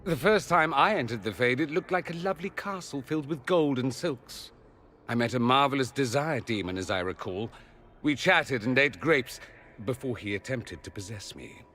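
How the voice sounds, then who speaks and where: Casting: polished, wry, scholarly mage played by a man for a fantasy game, medium pitch, light ambience. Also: animated, moderate pace, fairly bright tone, slight background noise, lightly acted. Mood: wry, musing. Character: urbane, unruffled male mage, drily amused and scholarly. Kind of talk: fantasy video game acting.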